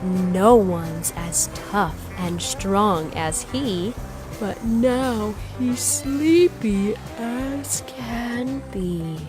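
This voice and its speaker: A woman reading a children's bedtime story aloud, expressively, with gentle, drawn-out phrasing and acted emphasis. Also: mid-range voice, music in the background. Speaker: storybook reader.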